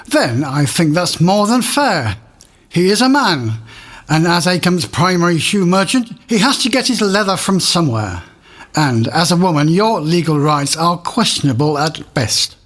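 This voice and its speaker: Man acting a historical village magistrate, high voice, pompous, officious, comic radio drama. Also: sing-song, moderate pace, a bit bright. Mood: stern, officious. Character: pompous male village court judge of olden times.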